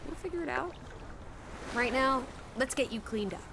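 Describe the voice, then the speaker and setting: A woman's voice: high-pitched, animated, normal speed, creaky, noisy background. An actress playing a breezy, teasing young woman companion in a 1920s video game drama, wry and bossy but caring. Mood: soothing, coaxing. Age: young adult. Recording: music behind the voice.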